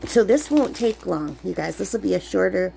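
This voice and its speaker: A sick woman, chatting in a rambling way while crafting, her voice lower than usual. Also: animated, normal speed, creaky, dark tone, slight background noise, rough recording. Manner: chatty, rambling, many fillers. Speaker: crafting vlogger. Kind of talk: casual chat while crafting. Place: camp cabin indoors.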